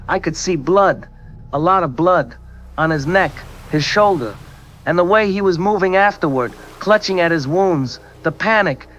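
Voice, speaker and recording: A shaken coast guard captain. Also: male voice, sits high, fairly bright tone, a little hiss or hum. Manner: hesitant, shaken, halting. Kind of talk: audio drama testimony.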